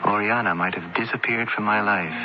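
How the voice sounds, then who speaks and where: A man's voice: on the low side, brisk, natural voice. Man doing a protective roman nobleman, formal n dramatic, old radio drama, muffled w/ music. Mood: brooding narration. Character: commanding, protective young Roman gentleman in love.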